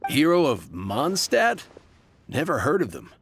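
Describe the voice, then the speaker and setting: A man's voice: on the high side, wildly swooping pitch, measured pace, music in the background, full character voice. An actor playing a shrewd male labourer for hire in a video game, curt and matter-of-fact. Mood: unimpressed.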